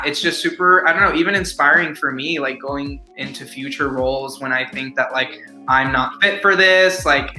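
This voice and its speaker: A man's voice: medium pitch, pushed and bright. A young adult man chatting eagerly as a guest actor in a casual interview, with lots of "like" in his speech. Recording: echoey room.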